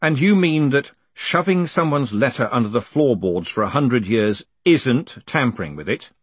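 A man's voice: medium pitch, animated, normal speed, breathy edge, no echo, voice pushed up. A man voicing a weary, sceptical man in charge, dry and sardonic, in an audiobook. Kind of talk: audiobook character acting.

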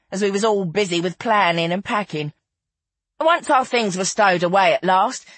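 A narrator plays a flamboyant showgirl telling her story with relish. Her voice lifts high and swings widely, a little husky at the edges. She is gossipy, theatrical and mock-genteel, a storybook woman of the world. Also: a bit bright, dry recording.

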